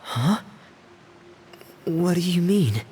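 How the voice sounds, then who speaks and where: A man voicing a shy, gentle, withdrawn teenage boy in a video game, soft and hesitant. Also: high voice, expressive, extremely slow, a little hiss or hum, heavily acted. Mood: apologetic, confused. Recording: music behind the voice.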